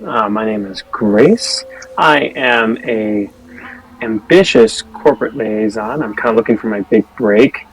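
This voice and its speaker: A man's voice: fairly low pitch, unhurried, slight background noise, low-quality recording. A man playing a tabletop game, introducing his character in a casual, improvised way.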